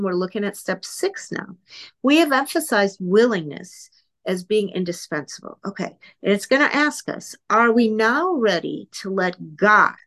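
A woman's voice: lowish voice, a bit bright. A woman in her fifties giving an earnest, candid, self-deprecating recovery share to a group over an online call from her computer. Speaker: speaker sharing at a twelve-step meeting.

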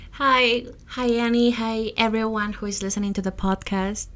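A woman's voice: fairly high pitch, steady tone, moderate pace, a bit bright. A young woman from Peru, a native Spanish speaker with a Peruvian accent, earnestly telling her personal story as a podcast guest, with some fillers and restarts.

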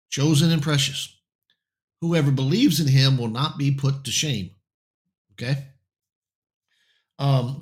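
A man of middling pitch teaches scripture on air, his voice slightly raspy and hoarse. He talks in halting bursts, repeating words as he restarts, and often checks in with a quick "okay?". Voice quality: raspy voice in the morning. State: slightly hoarse, clearing throat. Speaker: Bible teacher. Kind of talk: verse-by-verse Bible teaching on air.

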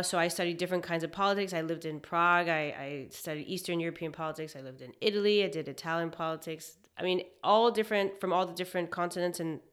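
A young woman from Hong Kong, a chef, talking reflectively in a casual interview with many pauses and fillers. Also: lowish voice, a bit bright. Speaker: guest, chef.

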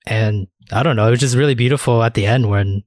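A man's voice: medium pitch, quick pace, a little mellow, no room sound, studio quality. A man hosting a casual anime discussion podcast, chatting informally with plenty of filler words and swearing. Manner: informal, profanity-laced, filler-heavy talk. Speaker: podcast host.

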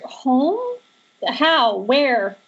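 A woman's voice: high-pitched, lively intonation, extremely slow, exaggerated voice. A woman playing a frightened, distraught young heroine in an amateur horror script table read. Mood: shaken, confused. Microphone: Skype call. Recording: dull lo-fi internet call.

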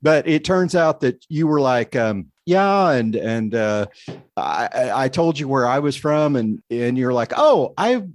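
A man from Oklahoma co-hosting a podcast, chatting casually and telling lively anecdotes. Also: mid-range voice, measured pace. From Oklahoma, USA. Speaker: podcast co-host.

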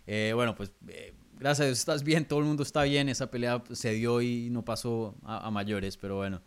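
A male interviewer with an ordinary, mid-range voice. He asks long, friendly questions and fills the pauses with small hesitations. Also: medium pitch, clear, resonant tone. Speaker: interviewer, host.

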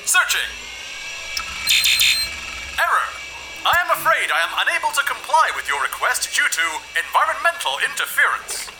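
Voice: sits high, very animated, moderate pace, pauses often, low-quality recording. An actor voicing a polite, unhelpful spacesuit computer that speaks in formal, literal machine phrases. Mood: prim, obliging. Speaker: suit computer. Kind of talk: audio drama acting. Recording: music under the voice.

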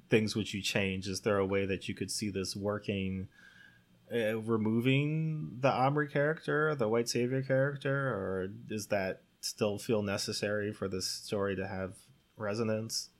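A man with a fairly low voice hosts a conversational podcast. He guides the discussion by putting questions to the others. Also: steady tone, a little slow. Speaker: podcast host.

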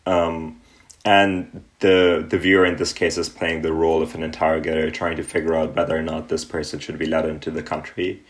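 Young male interview guest from Pakistan, extremely low voice, thoughtful and hesitant delivery. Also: little pitch movement. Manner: thoughtful, with frequent ums and pauses. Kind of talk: relaxed reflective interview answers.

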